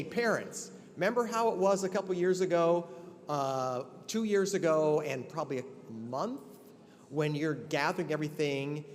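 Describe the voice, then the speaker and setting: A man's voice: fairly high pitch, slight background noise. An older man giving an earnest, conversational ceremonial address, with many restarts. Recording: long hall reverb, faint ambience.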